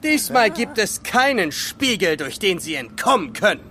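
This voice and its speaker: A man's voice: sits high, big pitch swings, moderate pace, crowd noise. An actor playing a brash, confident boy adventurer with a dry manner in a children's radio play. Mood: bold. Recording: music under the voice.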